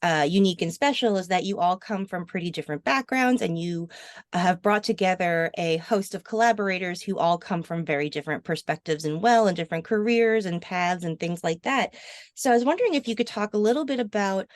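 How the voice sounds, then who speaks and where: A woman's voice: on the low side, brisk, no echo. A woman hosting a podcast, speaking in a conversational interview style. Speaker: podcast host.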